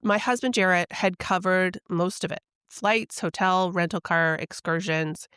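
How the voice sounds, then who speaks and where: A middle-aged woman hosting a podcast, telling a personal story in a soft, reflective, confessional way, her voice still recovering from a head cold. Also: lowish voice, unhurried, clean tone, no echo, clean studio sound. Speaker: podcast host and intuitive coach.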